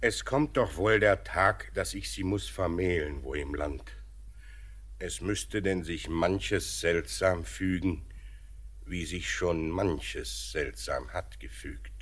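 An actor playing a grave, reflective middle-aged father and master in a 1940s radio drama, husky and measured. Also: low voice, a bit bright, heavily acted. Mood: musing, wistful. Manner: measured, solemn, musing. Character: grave, reflective mine-owning father, patriarchal and kindly.

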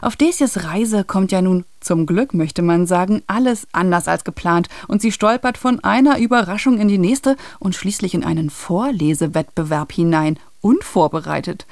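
Low, creaky-voiced woman hosting children's radio. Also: normal speed, hardly any pauses, darkish tone, no room sound, studio quality. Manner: chatty, addressing young listeners directly. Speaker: radio show host. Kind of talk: scripted introduction for children's radio.

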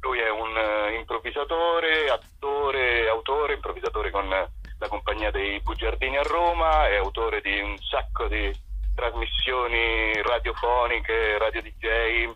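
A man's voice: mid-range voice, measured pace, no echo, dull audio. A man talking casually as an interview guest, in a playful, hesitant way, often repeating and restarting his phrases. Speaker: actor and author, interview guest.

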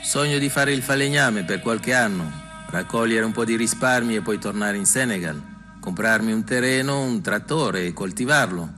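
A weary migrant street vendor, speaks in a low voice about a hard life. The delivery is a theatrical monologue full of longing for home, ringing in a long hall reverb with music behind it. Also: male voice, normal speed, pushed and bright. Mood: wistful, weary.

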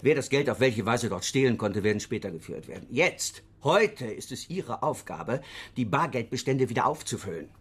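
An actor playing a secretive government official with a husky voice and a formal, condescending manner, in a radio crime drama. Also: male voice, mid-range voice, animated, normal speed. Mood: stern, secretive.

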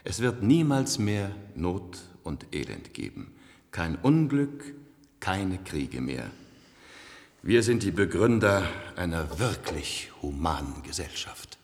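A man acting a complacent, cultured ruler of a future elite in a 1970s radio play, suave, measured and condescending, in an echoey hall. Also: low-pitched, big pitch swings, exaggerated voice. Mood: condescending, self-assured.

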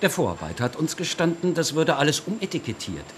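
An actor playing a subordinate police officer giving matter-of-fact reports in a radio drama. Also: male voice, on the high side, very animated, fast. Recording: distant, with clear background noise.

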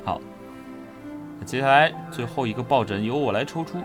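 A man's voice: medium pitch, lively intonation, brisk, music in the background. A man co-hosting a casual livestream with playful, teasing banter. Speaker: co-host of a livestream for an audio drama.

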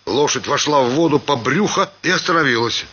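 A man giving an expressive, theatrical reading of a literary story as a radio actor. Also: mid-range voice, brisk, slightly rough, muffled recording. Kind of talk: dramatic literary narration.

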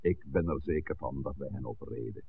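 An actor playing an authoritative village priest in a 1960s radio drama, speaking in a measured, formal, admonishing way. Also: male voice, a bass voice, vocal fry, husky, full character voice. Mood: stern. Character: authoritative village priest, composed, stern peacemaker. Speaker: pastor. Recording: dull, noisy 1960s radio recording.

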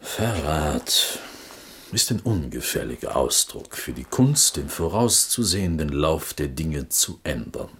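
Husky, low-voiced scheming court minister. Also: male voice, big pitch swings, unhurried, fairly bright tone, exaggerated voice. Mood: smug, scheming. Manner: insinuating, smug, formal courtly diction. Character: scheming, self-important Prussian court minister, greedy and sly. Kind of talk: German radio play acting.

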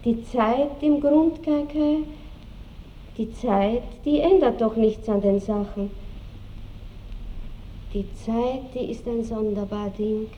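A woman's voice: on the high side, steady tone, slow pace, pauses often, lo-fi sound. An actress playing a melancholy, tender aristocratic woman musing on time in an Austrian accent, wistful and lilting, in a classic radio drama. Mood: hushed, wistful. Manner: wistful, musing, lilting old-fashioned speech. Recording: faint hiss of old radio recording.

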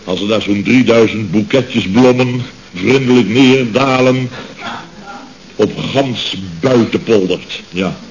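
An actor playing a blustery, exasperated middle-aged company boss in a radio comedy serial, repeating himself and stammering when flustered. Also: male voice, mid-range voice, slow. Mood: pleased. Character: blustering, exasperated male boss of a building firm. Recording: muffled, noisy old radio recording.

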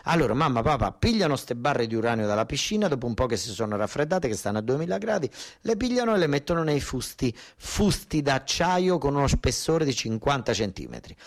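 A male stage storyteller with a southern Italian accent and a middle-pitched voice. He delivers a monologue in everyday, colloquial speech, hammering points home by repeating them. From Basilicata, southern Italy. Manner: colloquial, insistent, repeating phrases for emphasis. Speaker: actor-storyteller. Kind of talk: narrative theatre monologue.

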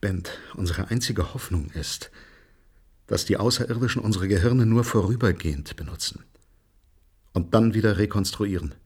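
An actor playing a grave, authoritative spaceship commander with a husky voice in a science-fiction radio drama. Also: male voice, on the low side, normal speed, darkish tone, no room sound, clean studio sound. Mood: grave, worried. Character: grave spaceship commander reporting on a doomed crew.

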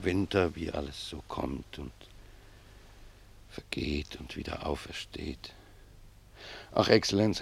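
An actor playing a humble, devout old gardener in a German radio play, speaking reflectively and deferentially with a gravelly, husky voice. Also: male voice, sits low, heavily acted. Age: older. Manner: deferential, reflective, simple and earnest.

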